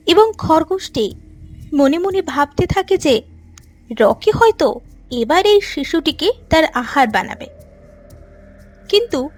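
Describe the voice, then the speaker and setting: Slow, high-voiced woman narrator. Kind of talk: scripted story narration. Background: soft music.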